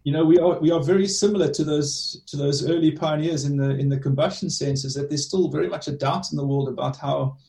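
Gravelly-voiced man with a South African accent. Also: a little high, steady tone, mellow. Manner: measured, restarts phrases with many "you know"s. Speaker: motorsport team director, guest. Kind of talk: remote interview answers. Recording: echoey room, distant from the mic.

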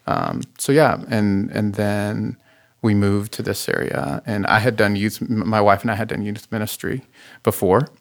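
A man in his thirties, a therapist and youth pastor, talking earnestly about his background in a relaxed interview. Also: fairly low pitch, moderate pace. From Chile. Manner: earnest, relaxed storytelling with frequent fillers. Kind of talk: casual interview talk.

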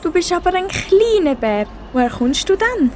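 A kind, decisive mother in a radio play acted by a female amateur. Her voice is high, with a Swiss German accent, over faint background noise. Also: big pitch swings, moderate pace, darkish tone. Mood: curious.

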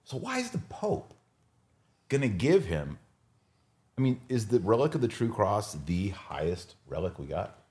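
A man with a low voice and a highly varied, animated intonation talks as a podcast host in a casual religious discussion. He is opinionated and emphatic, explaining his points and often putting them as rhetorical questions. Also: a little slow.

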